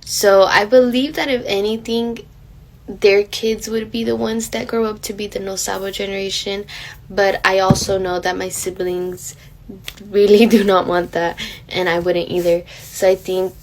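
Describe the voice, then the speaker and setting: A woman's voice: medium pitch, flat delivery, moderate pace, darkish tone, poor audio. A young woman giving personal testimony as a student interviewee, chatty, hesitant and a little apologetic.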